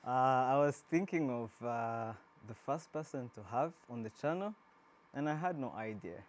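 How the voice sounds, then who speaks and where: A man's voice: medium pitch, moderate pace. A man from Rwanda hosting an interview, asking loose, rambling questions with frequent restarts. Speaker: interview host. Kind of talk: casual interview.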